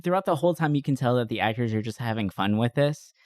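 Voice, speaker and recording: A man's voice: mid-range voice, expressive, fast, darkish tone, no room sound, studio quality. A man co-hosting a podcast, chatting informally and enthusiastically in a casual, slangy review style. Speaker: podcast co-host. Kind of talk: casual movie review chat.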